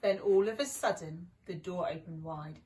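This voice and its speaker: A woman's voice: on the low side, normal speed, a little mellow. A woman reading a children's rhyming story with dramatic, rhythmic expression. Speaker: deputy headteacher reading a story.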